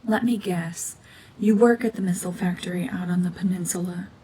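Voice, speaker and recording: Low, flat-toned cold witch, acted by a woman. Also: rough recording. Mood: guarded, slightly softening. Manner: hushed, breathy, brooding, deliberate delivery. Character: cold, mean, world-weary gorgon witch, war veteran cyborg. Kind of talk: dramatic ASMR roleplay monologue.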